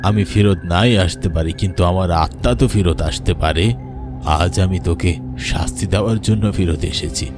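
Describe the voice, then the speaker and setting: A man's voice: sits low, brisk, music in the background, lightly acted. Grave, reproachful wronged elder brother played by a man. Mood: vengeful. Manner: scolding, reproachful. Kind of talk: Bengali horror cartoon acting.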